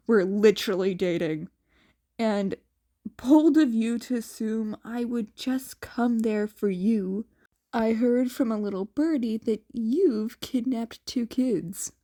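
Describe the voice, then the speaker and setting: A woman's voice: mid-range voice, takes it slowly, warm tone, lightly acted. A woman voicing a teasing, dramatic young man, a sardonic boyfriend in a fan fiction story.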